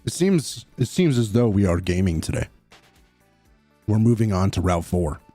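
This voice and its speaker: A young man with a low voice that still swings up and down a lot as he chats with his viewers. He talks in a mumbly, low-key way, dry and close with no room sound, and faint music plays behind him. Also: big pitch swings, moderate pace, dark tone, dry recording. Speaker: streamer. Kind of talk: casual live-stream chat.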